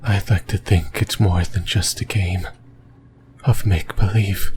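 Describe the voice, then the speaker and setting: Man acting a slow, whispering, lonely demon. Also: low voice, noisy background. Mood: yearning. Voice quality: breathy whisper. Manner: slow, halting, rambling, intimate. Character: lonely, possessive male sleep paralysis demon, musing and uncertain. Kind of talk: creepy whispered ASMR roleplay monologue. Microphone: close microphone, whispering into the ear.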